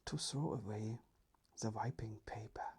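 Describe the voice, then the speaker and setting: Whispery asmr storyteller, really slow n careful, super breathy. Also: medium pitch, fairly even intonation. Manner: hushed, careful, deliberate reading.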